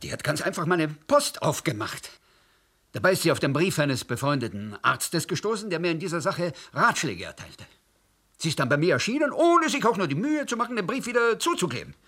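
An actor playing a troubled middle-aged husband in a radio play, speaking haltingly with fillers. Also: male voice, on the high side, big pitch swings, bright, forceful tone, husky, heavily acted.

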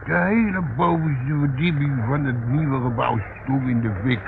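An actor playing a grumpy old male tramp in a radio play, halting and repeating himself as he grumbles. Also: medium pitch, natural voice. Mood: rambling. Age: older. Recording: muffled narrowband old radio recording, noisy.

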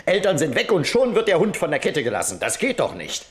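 A man's voice: a little high, wildly swooping pitch, fairly quick. An actor playing an indignant, nosy male neighbour in a radio crime drama, fussy and hesitant, repeating himself.

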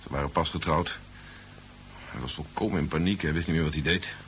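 Gruff commanding army general. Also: male voice, a bass voice, a little fast, fairly bright tone. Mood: calm, firm. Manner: brusque, authoritative. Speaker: radio drama actor. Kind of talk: 1970s radio play acting. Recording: muffled, noisy 1970s radio recording.

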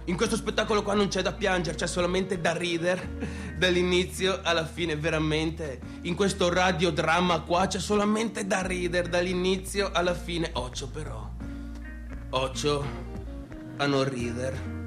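An actor playing a brash, unsettled only son with a Venetian accent, talking colloquially and repetitively straight to the audience in a radio drama. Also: male voice, sits high, fairly even intonation, a little slow, over music. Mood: teasing. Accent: Venetian, northeastern Italian. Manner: colloquial, repetitive, swinging between clowning and defensiveness. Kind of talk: theatrical radio-drama monologue addressed to the audience.

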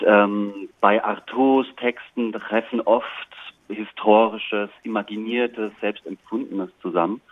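A man's voice: fairly low pitch, dry recording. A man giving reflective, articulate answers as an interview guest, choosing his phrasing with care. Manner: thoughtful, articulate, considered phrasing. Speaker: interview guest, playwright and director. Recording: tinny, narrow phone-like line.

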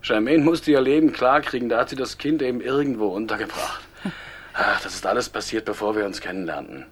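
An actor playing a gruff, talkative middle-aged husband in a German radio crime drama, wary and blunt, with a husky voice. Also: male voice, on the low side, a little fast, slight background noise, natural voice. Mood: grumbling, candid.

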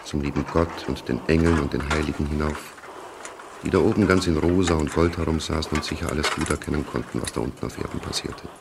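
A man's voice: a bass voice, fast talker, vocal fry, noisy background. A man narrating a literary radio play about war, as a storyteller reading scripted prose. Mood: measured. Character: male storyteller of a young soldier's war.

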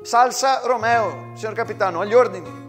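A man's voice: sits high, normal speed, faint music behind, voice pushed up, lightly acted. A man voicing a sad, anxious twenty-year-old soldier from near Verona, apologetic and questioning, in a theatrical story.

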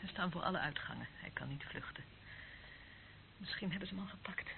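A man's voice: sits high, expressive, brisk, vocal fry. A man in a radio play acting a cold, authoritative official in charge of secret tapes. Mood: tense, knowing. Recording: noisy, tinny old radio recording.

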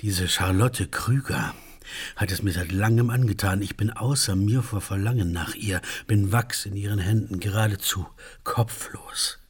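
Florid, lovesick gentleman for radio satire: husky, pompous, theatrically tormented by passion. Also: fairly low pitch, moderate pace. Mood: swooning, pained. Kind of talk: satirical radio play acting.

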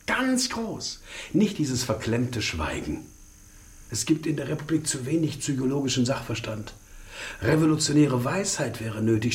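An actor playing a menacing, grandiose East German secret police colonel in a radio drama, pompous and theatrical. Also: male voice, medium pitch. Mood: scornful, brooding. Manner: pompous, theatrical, swinging from soft menace to outbursts.

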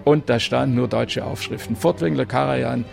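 A man's voice: mid-range voice, brisk, gravelly. A man, a music journalist, telling his story in an interview in an enthusiastic, emphatic way. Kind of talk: anecdotal storytelling.

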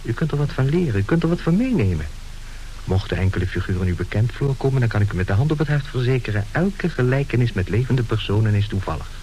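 An actor playing a wry, mock-solemn narrator who formally addresses the listeners in a theatrical radio play. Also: male voice, medium pitch, animated, fast, mellow, close to an everyday voice, low-quality recording. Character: wry male narrator warning of a rogues' comedy. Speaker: announcer introducing a radio play. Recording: hissy old radio drama recording.

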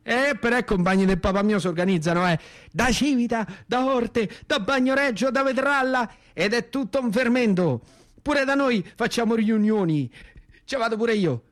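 A man's voice: very high-pitched, moderate pace. A man with a central Italian accent performing a theatrical narrative monologue, declamatory and insistent in his repetitions. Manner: impassioned, declamatory, repeats phrases insistently. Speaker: actor and storyteller.

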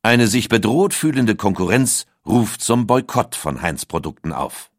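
A man's voice: fairly low pitch, lively intonation, unhurried, dry recording, clean studio sound. A man reading a scripted documentary audiobook as its narrator. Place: dry recording studio.

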